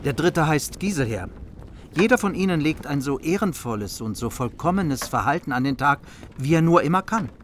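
An actor in a radio drama playing a dignified, loyal envoy who speaks in a courtly, grave way. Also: male voice, on the high side, lively intonation, moderate pace, a little hiss or hum, natural voice. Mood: proud.

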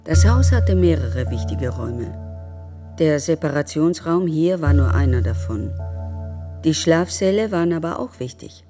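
An actress playing a sombre woman who narrates in a measured, sober, detached way in a radio drama. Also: sits low, lively intonation, music in the background. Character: sombre woman recounting grim memories of a children's home.

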